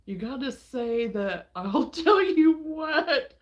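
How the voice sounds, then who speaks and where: A woman from Illinois hosting a relaxed livestream, chatting with viewers in a folksy way and laughing often. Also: sits high, slow. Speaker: livestream host. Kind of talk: casual chat with viewers. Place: home.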